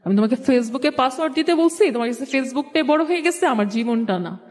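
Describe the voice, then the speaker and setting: High-voiced young Bangladeshi woman, heavy reverb. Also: animated, fairly quick, darkish tone. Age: young adult. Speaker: guest telling own life story. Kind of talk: personal storytelling with re-enacted dialogue. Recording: long echoing hall reverb.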